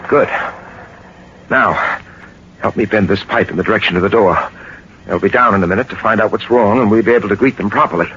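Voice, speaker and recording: An actor playing a cool, quick-thinking adventurer captain in a 1940s radio drama, giving crisp orders. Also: male voice, fairly low pitch, a bit bright, close to an everyday voice. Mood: straining, urgent. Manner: crisp, commanding. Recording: old muffled radio recording, heavy noise.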